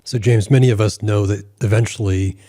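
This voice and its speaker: A man from the United States hosting a conversational interview in a measured, deliberate way. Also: lowish voice, steady tone, mellow. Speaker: interviewer, host.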